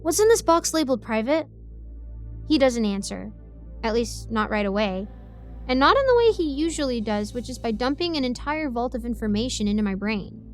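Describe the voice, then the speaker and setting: A woman voicing an excitable, defiant ten-year-old girl who tells her own story with rambling, indignant asides. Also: a little high, normal speed, soft background music, natural voice. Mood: excited, then curious, grumbling. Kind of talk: first-person scripted story narration.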